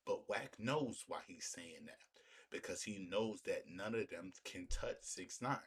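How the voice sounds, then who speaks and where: A man's voice: deep voice, animated, a little slow, breathy edge. A man giving opinionated street-talk commentary in an emphatic, repetitive way, often using rhetorical questions. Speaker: commentator hosting a reaction video.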